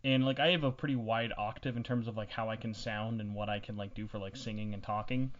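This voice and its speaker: A man's voice: on the low side, steady tone, fast, dull audio. A young man hosting a podcast in a casual, joking, teasing way. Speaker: podcast host.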